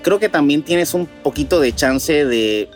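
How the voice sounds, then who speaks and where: High-pitched male news co-host over faint music. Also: animated, unhurried. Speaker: co-host of a paranormal news show. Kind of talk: news reading mixed with casual reflection.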